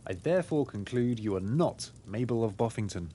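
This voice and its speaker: A man voicing an earnest pig detective in a game, reasoning through deductions in a formal, deliberate way. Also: lowish voice, animated, warm tone, slight background noise, close to an everyday voice. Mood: earnest, deductive. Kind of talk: scripted game dialogue.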